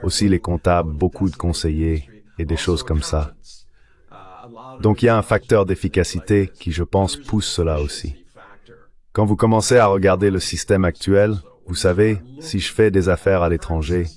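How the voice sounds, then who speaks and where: A man's voice: low voice, moderate pace, a little mellow, lightly acted. A man delivering an explanatory voice-over translation for an interview guest. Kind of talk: dubbed interview commentary, explanatory.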